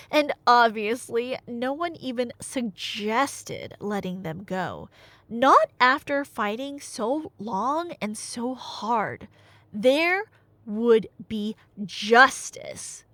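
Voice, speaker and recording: Female storyteller, high voice, unhurried delivery, expressive and wry, for a casual dramatic myth retelling. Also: no room sound. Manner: expressive, conversational, wry asides.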